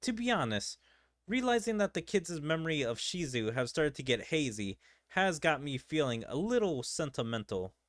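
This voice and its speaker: A man's voice: fairly high pitch, very animated, normal speed, a bit bright, no room sound, studio quality. A man voicing a kindly, reflective young leader and teacher from an anime game, reading the lines aloud. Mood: pensive. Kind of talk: amateur read-aloud of anime game dialogue.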